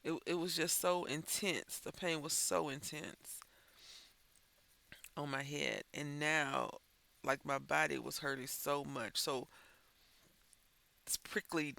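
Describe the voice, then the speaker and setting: A man's voice: fairly high pitch, fairly even intonation, takes it slowly, creaky, pauses often, sharp tone. A man chatting while coloring as host of a crafting channel, rambling and thinking aloud, sounding stuffy and congested. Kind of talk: casual chat while coloring.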